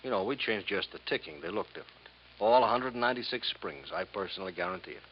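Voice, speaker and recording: Guy playing a chummy crooked mattress boss, middle-aged, lowish voice, wheedling sales talk, muffled 50s radio show. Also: animated, fast, close to an everyday voice. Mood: sly, selling. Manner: folksy salesman patter, tag questions, inverted phrasing. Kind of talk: 1950s radio drama acting. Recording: muffled, hissy 1950s radio recording.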